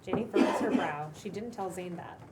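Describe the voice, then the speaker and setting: A woman's voice: fairly low pitch, a little fast. A woman narrating the stage directions of a script at a cold table read. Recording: distant mic, clearly noisy room.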